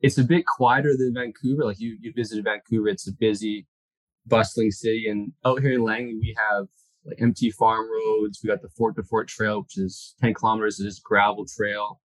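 A man's voice: lowish voice, little pitch movement, moderate pace, dry recording, low-quality recording. A Canadian man speaking as a guest in a casual interview, easygoing and descriptive.

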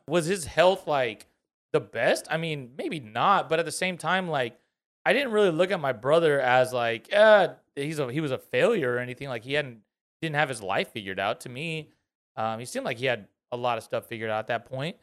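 A man's voice: fairly high pitch, a bit bright. A man in his thirties co-hosting a podcast, talking loosely and profanely, laughing often. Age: 30s. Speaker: podcast co-host. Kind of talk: casual chat.

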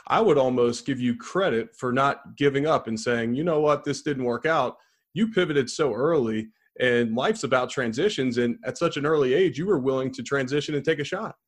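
A man hosts an interview podcast. He opens with a measured, scripted guest introduction, then eases into easy, friendly banter. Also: medium pitch. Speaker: podcast host.

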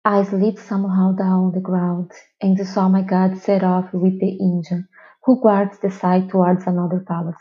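A woman's voice: lowish voice, flat delivery, normal speed. A woman reading a travel narrative aloud on a lo-fi voice message. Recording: dull lo-fi voice message.

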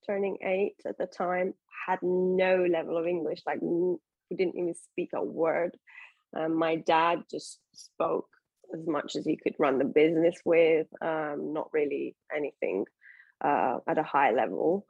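Low gravelly woman from Iran, chatty. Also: dry recording, phone-quality sound. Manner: chatty, many fillers and restarts. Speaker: guest, bikini competitor. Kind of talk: casual interview talk.

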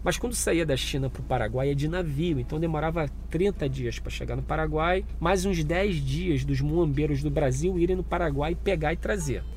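A man's voice: fairly high pitch, darkish tone, a little hiss or hum. A middle-aged Brazilian man with a Rio de Janeiro accent, telling stories casually with heavy slang and swearing. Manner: swearing, slangy, acts out dialogue. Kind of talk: animated casual storytelling, slangy.

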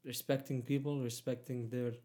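A man's voice: mid-range voice, level tone, moderate pace, warm tone. Hesitant Arabic-speaking man in casual talk. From Palestinian, raised in Egypt. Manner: hesitant, many fillers and restarts. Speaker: guest. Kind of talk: casual conversation.

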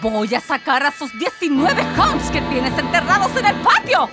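An actress playing a bitter, wounded sister back from exile in a radio drama, with a Chilean accent and an emphatic, confrontational manner. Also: female voice, high voice, sing-song, moderate pace. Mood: disgusted, furious. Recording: loud music and crowd behind.

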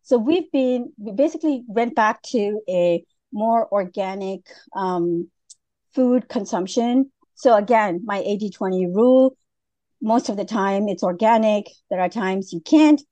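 Middle-aged woman from Malaysia, guest, unhurried with fillers, dry close sound. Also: mid-range voice, warm tone, dry recording, highs cut off. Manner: unhurried, many fillers and restarts. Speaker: guest, food business founder. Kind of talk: casual interview.

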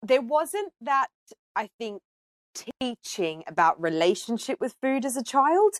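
A woman's voice: a little high, very animated, measured pace, dry recording, studio quality. A woman from Australia speaking as a podcast guest, telling personal stories in a conversational way.

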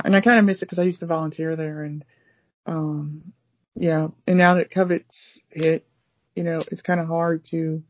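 A woman's voice: low-pitched, dry recording, highs cut off. A woman from the United States chatting casually about learning languages, rambling with many fillers and restarts. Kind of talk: casual conversation.

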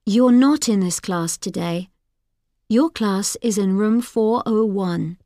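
A woman's voice: mid-range voice, slow pace, warm tone, dry recording, studio quality. A woman reading example sentences for language learners, each one carefully enunciated. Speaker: course audio speaker. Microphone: dry studio mic.

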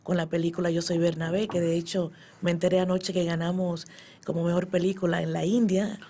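A middle-aged woman speaks with a Dominican accent in a fairly low voice. She is a guest being interviewed, recounting her experiences in a casual, conversational way. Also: level tone, a little fast, dark tone, breathy edge. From born in the Dominican Republic, emigrated to the US at ten. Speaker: guest, poet and actress.

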